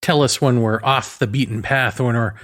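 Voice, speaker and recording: A man's voice: medium pitch, normal speed, a bit bright. A man delivering an opinionated philosophical monologue in a sardonic way, leaning on rhetorical questions and emphatic repetition. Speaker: commentator delivering a solo monologue.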